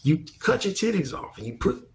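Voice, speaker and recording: A man's voice: high-pitched, big pitch swings, moderate pace, vocal fry, slight background noise. A man giving casual opinion commentary as a vlogger, speaking bluntly and emphatically with plenty of swearing.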